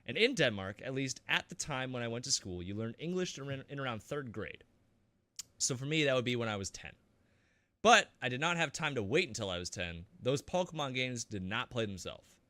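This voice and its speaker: A man's voice: mid-range voice, lively intonation, pushed and bright, dry recording. Jokey man, dry close sound. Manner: jokey and profane, quick to laugh. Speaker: podcast co-host reading viewer comments. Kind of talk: casual gaming podcast banter.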